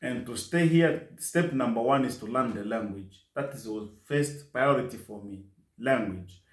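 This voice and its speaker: A middle-aged man from Congo speaks English, his being French. His voice sits at a middle pitch and he talks earnestly, circling back and repeating phrases as he goes. The recording is roomy, with clear echo. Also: measured pace. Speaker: interview guest. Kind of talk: casual storytelling interview.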